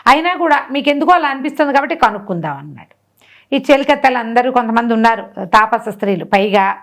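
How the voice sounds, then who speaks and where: A woman tells a folk tale conversationally, asking rhetorical questions and acting out the dialogue. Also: fairly high pitch. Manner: animated retelling with rhetorical questions and acted-out dialogue. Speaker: storyteller.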